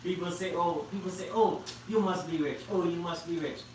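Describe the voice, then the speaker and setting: A man's voice: high-pitched, fairly even intonation, moderate pace, darkish tone, heavy reverb, far from the mic, slight background noise, low-quality recording. A man from Queens, New York, a property investor appearing as a guest on a live online show. He jokes around and laughs while he talks. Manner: joking, laughs while talking. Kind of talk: live online interview chat.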